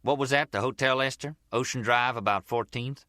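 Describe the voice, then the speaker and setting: A man's voice: mid-range voice, normal speed, sharp tone, dry recording, studio quality, voice pushed up, natural voice. A man voicing a cool, defiant tough lawman, terse and unyielding, in an audiobook crime story.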